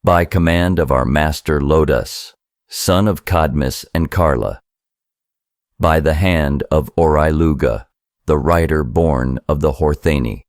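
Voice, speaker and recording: Man, very low bass voice, slow measured delivery, solemn scriptural reading, formal phrasing. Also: no echo, studio quality. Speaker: reader of a scriptural book.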